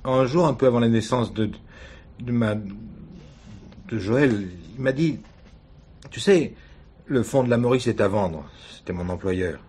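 An actor playing a wounded, weary husband suspected of murder, halting and defensive, in a French radio crime drama. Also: male voice, medium pitch, darkish tone, husky. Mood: bitter, hurt. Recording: noisy old radio drama recording.

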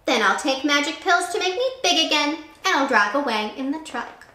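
A woman doing a bright-voiced clever little boy. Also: high voice, expressive, normal speed, voice pushed up. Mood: cheerful. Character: brave, clever young boy. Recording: echoey room.

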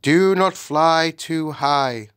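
A man reading aloud and voicing a majestic male lion king with a slow, grand, solemn delivery. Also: medium pitch, fairly even intonation, fairly bright tone, no echo, studio quality.

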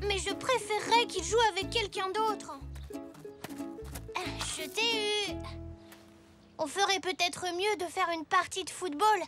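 A playful little boy zebra speaks in a very high, bright childlike voice. He talks slowly and eagerly in a cartoon. Children's music plays softly behind him. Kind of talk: French cartoon dubbing.

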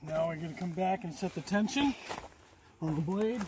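Guy doing a hands on demo outside, kinda high voice, explains as he works, noisy camera sound. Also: moderate pace. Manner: plain-spoken, explanatory while working. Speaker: vlogger demonstrating his sawmill. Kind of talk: casual hands-on demonstration. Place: outdoors in a wooded clearing. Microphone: camera a few steps away. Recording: outdoor camera audio, clear background noise.

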